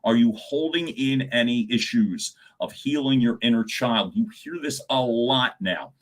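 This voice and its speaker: A middle-aged man with a loud voice, coaching on a live stream and swinging his pitch and volume as he talks to viewers. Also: measured pace. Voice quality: loud speaking voice. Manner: animated, swings pitch and volume, chuckles often.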